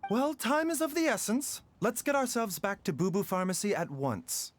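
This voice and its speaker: A man voicing a calm, composed male doctor who speaks in a measured, polite, clinical way. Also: high-pitched, soft background music. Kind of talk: game voice acting.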